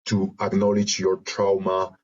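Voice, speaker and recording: A man from Argentina with a Spanish accent, reflecting in an interview in halting, searching phrases over a video call. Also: low voice, monotone. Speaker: interview guest, creative and therapeutic facilitator. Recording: dull lo-fi video call audio.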